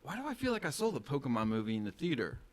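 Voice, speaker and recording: A man chatting casually in an excitable, slangy way, reminiscing with nostalgia. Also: medium pitch, very fast. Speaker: podcast co-host. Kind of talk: casual chat.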